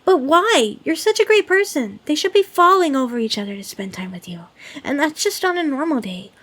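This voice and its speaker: A woman voicing a lonely, sweetly clingy demon in an ASMR roleplay, speaking to the listener in a gentle, pleading, earnest way. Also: sits high, sing-song, a little fast, heavily acted. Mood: indignant, protective. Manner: gentle, pleading, earnest, with hesitant stammers and hushed dips.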